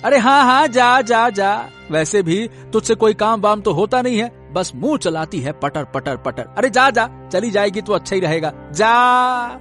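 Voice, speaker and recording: A man's voice: high voice, lively intonation, unhurried, a bit bright, soft background music. A man voicing a gullible village farmer in a cartoon story, with a bewildered, rustic and at times blustering manner. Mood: scornful. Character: gullible, simple-minded village farmer father.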